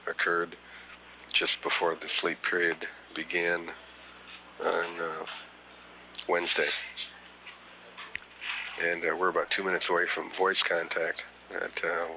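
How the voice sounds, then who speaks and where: A man giving mission status commentary for mission control, halting with many fillers, on a muffled archival broadcast recording. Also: deep voice, pushed and bright, slight background noise. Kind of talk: mission commentary over radio.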